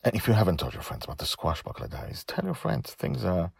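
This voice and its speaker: A man's voice: low voice, wildly swooping pitch, quick pace, gravelly, darkish tone, breathy edge. A man hosting his own story podcast, narrating and talking informally in a dramatic, emphatic way.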